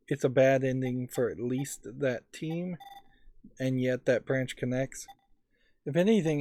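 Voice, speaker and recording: A man giving casual, analytical gameplay commentary as a let's play host, musing in a measured way. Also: mid-range voice, dark tone, dry recording. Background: game music.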